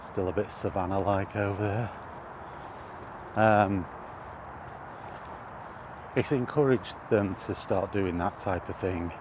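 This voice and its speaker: A British man giving rambling, casual commentary while walking outdoors, halting and thinking aloud as he goes. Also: sits low. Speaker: video host. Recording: outdoor ambient noise throughout.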